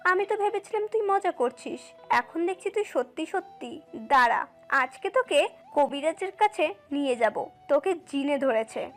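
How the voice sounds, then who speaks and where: No-nonsense mum played by a woman, high voice, mocking and dry, audio drama with background music. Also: animated, moderate pace, a bit bright. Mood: teasing, scolding. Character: teasing, no-nonsense mother of a grown son. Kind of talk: audio story acting. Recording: music under the voice.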